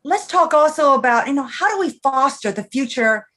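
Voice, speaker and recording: A woman's voice: high-pitched, lively intonation, normal speed, bright, forceful tone. A woman moderating a virtual panel over a video call, upbeat and enthusiastic as she introduces speakers and asks questions.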